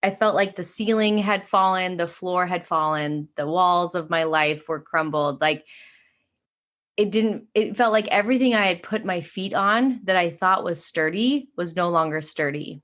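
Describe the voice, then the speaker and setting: A woman with a fairly low voice talks earnestly about spiritual awakening. She speaks in long flowing runs and often fills with "like". It is a casual, heartfelt conversation. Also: moderate pace, fairly bright tone. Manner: earnest, flowing, many "like" fillers. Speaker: podcast guest, spiritual teacher.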